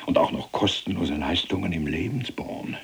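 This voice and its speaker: An actor playing a sardonic, plain-spoken middle-aged German prisoner in a radio play, with a husky voice and mocking, colloquial emphasis. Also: male voice, low voice, moderate pace, full character voice. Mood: bitter sarcasm.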